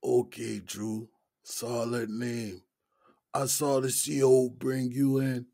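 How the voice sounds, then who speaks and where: An actor playing an easygoing, folksy older male inmate in his sixties in an audio drama, with a deep, raspy smoker's voice and a Gullah Geechee accent. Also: level tone, dark tone, no room sound, clean studio sound. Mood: wry, easygoing. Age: 60s. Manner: folksy, unhurried, colloquial drawl.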